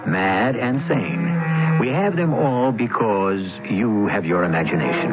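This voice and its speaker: A man hosting a radio mystery drama, introducing the story in a dramatic, measured narration. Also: lowish voice. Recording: tinny 1970s radio broadcast.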